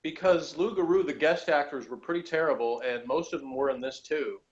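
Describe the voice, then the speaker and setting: A man's voice: fairly high pitch, a little fast. A man chatting casually as a review panellist, mocking and exasperated, given to hyperbole. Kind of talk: casual comedic review chat. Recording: dull lo-fi audio.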